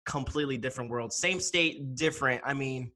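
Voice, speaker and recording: A man's voice: medium pitch, brisk, sharp tone. A man from New Jersey who grew up in Miami, hosting an interview as an enthusiastic fan of his guest. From New Jersey, raised in Miami. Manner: enthusiastic fan, friendly, asks questions. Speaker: podcast host. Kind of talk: casual interview conversation.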